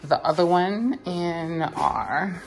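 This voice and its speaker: A woman's voice: on the low side, takes it slowly, faint music behind. A young woman in her twenties chatting casually to camera in a vlog, full of "like" and "um" fillers, recorded on a phone held at arm's length. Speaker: vlogger. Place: home kitchen indoors, later a rooftop terrace outdoors. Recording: ambient background noise.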